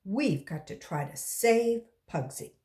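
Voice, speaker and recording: A woman's voice: mid-range voice, lively intonation, slow, darkish tone. A woman voicing an earnest, slightly doubtful young schoolboy in a children's storybook. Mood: concerned, reassuring.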